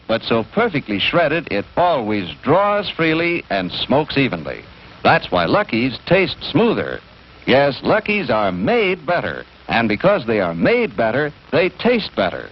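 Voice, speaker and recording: A man announcing a 1950s commercial as an assured American pitchman, with an emphatic, measured sales delivery. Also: huge pitch swings. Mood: assured, persuasive. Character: smooth-selling, assured male 1950s cigarette pitchman. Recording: dull, noisy 1950s broadcast.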